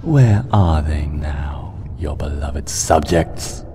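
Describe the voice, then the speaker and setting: A man voicing a cruel, monstrous mare villain in a dark fantasy story, taunting in drawn-out words and hissed whispers. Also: a bass voice, lively intonation, long reverb tail, music in the background, voice pushed down, full character voice. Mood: taunting, sinister.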